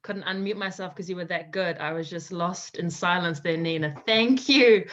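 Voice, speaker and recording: A woman's voice: fairly low pitch, normal speed. A woman hosting a casual online panel, upbeat and effusive as she introduces speakers over a video call. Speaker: panel host. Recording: slightly dull video-call audio.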